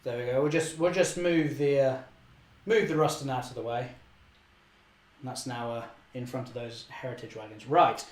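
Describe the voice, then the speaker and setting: A young man, slightly hoarse, chatting cheerfully through a live model railway session and correcting himself often. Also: medium pitch, steady tone, normal speed, roomy sound. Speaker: model railway channel host. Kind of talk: live-stream running commentary.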